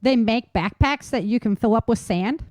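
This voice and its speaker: A woman's voice: on the high side, normal speed, a little mellow, no echo, studio quality. A woman hosting a talk show, chatting informally and emphatically about practical tips and laughing easily. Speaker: podcast host. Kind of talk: casual survival-tips discussion.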